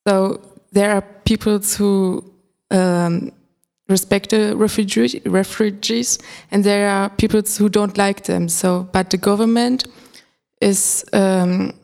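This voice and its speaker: Slow, mellow-voiced teen girl. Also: medium pitch, fairly even intonation, gravelly. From Germany. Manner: halting, searches for English words. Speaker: podcast guest. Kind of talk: casual discussion.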